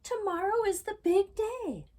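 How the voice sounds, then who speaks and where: A woman's voice: extremely high pitch, lively intonation, measured pace, a little mellow, voice pushed up. A woman voicing an eager, overworked young girl bee in a storybook, exclaiming dramatically. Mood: insistent.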